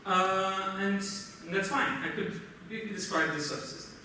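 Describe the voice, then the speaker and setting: A man with a Brazilian accent, a native Portuguese speaker, gives a technical conference lecture in a halting, explanatory way. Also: sits high, pushed and bright. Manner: halting, explanatory, often checks with "right?". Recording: roomy, noisy conference hall, distant mic.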